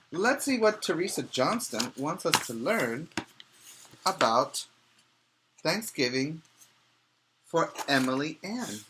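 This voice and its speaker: A male preschool teacher reads a picture book aloud in a bright, forward voice. He takes his time, stressing key words for young listeners. His delivery is expressive and explanatory, with questions put to the children. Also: medium pitch, poor audio.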